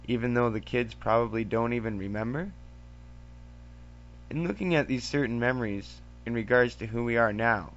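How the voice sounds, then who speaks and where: A man hosting a podcast, reading a reflective scripted monologue in a solemn, formal way, on a muffled amateur recording. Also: medium pitch. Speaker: podcast host.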